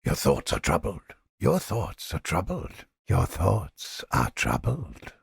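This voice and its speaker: Gravelly, theatrical cynic inquisitor. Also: male voice, low-pitched, wildly swooping pitch, no echo, studio quality, heavily acted. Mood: probing. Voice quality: gravelly, husky, breathy edge. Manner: theatrical, deliberate, sardonic, formal archaic diction. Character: cynical, theatrical male inquisitor scholar, sardonic and smugly godless. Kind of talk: theatrical video game character acting.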